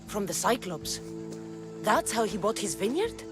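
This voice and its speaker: A hard young female mercenary from a video game. Her delivery is dry, blunt and threatening, with a lively pitch range. Loud music plays behind her. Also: measured pace. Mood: stern.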